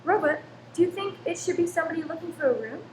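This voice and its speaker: A high, fretful voice of a careworn Victorian landlady acted by a woman in a radio play. She is anxious and sharp-tongued, complaining dramatically. The room echoes, with faint noise behind her. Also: lively intonation, quick pace. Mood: apologetic, hopeful. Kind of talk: old-time radio play acting. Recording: roomy stage recording, faint background noise.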